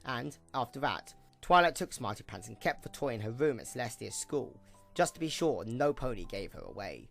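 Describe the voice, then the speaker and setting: A man narrating a scripted audio drama in an expressive storytelling manner. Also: mid-range voice, normal speed, vocal fry, faint music behind.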